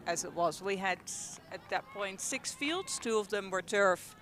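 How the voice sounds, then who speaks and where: A woman's voice: medium pitch, animated, unhurried, fairly bright tone. A young Dutch woman with a Dutch accent, chatting casually as a guest commentator at a hockey game, with lots of ums and laughs. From Netherlands. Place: outdoors at a field.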